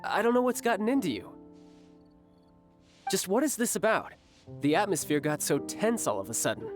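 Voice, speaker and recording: An actor playing a suave, scheming banker in a game, amused and smooth. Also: male voice, high voice, sing-song, normal speed. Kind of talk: game voice acting. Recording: game audio with music behind.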